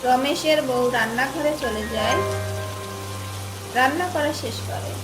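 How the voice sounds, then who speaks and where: High-pitched woman storyteller. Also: a little slow. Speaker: narrator. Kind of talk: scripted children's story narration. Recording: echoey, loud music and rain behind.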